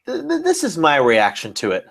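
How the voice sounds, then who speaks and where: A man's voice: fairly high pitch, huge pitch swings, normal speed, creaky, very noisy. A man hosting a casual wrestling podcast, ranting and bantering in an emphatic, sarcastic way. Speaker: podcast host.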